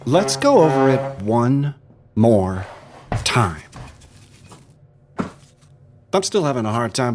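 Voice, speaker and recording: An actor playing a skeptical, sardonic interrogator in an audio drama, dry and deliberate, stopping and starting in disbelief. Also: male voice, on the low side, slight background noise. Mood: weary disbelief. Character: skeptical, sardonic male interrogator pressing a suspect.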